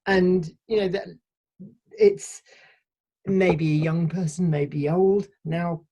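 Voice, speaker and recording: Husky low-voiced woman guest. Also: fairly even intonation, slow, warm tone, low-quality recording. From Sheffield, England. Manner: thoughtful, explains ideas at length with frequent restarts. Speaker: podcast guest. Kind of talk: casual conversational interview.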